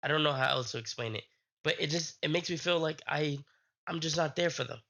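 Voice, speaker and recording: A young adult man, sick with a strained voice, chatting casually on a live stream in a halting, rambling way. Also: a little high, gravelly, sharp tone.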